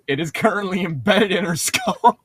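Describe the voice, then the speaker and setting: A man's voice: high-pitched, fairly bright tone, slightly rough, no echo. A man co-hosting a podcast in casual joking banter over a book being read aloud, sarcastic and teasing. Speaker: podcast co-host.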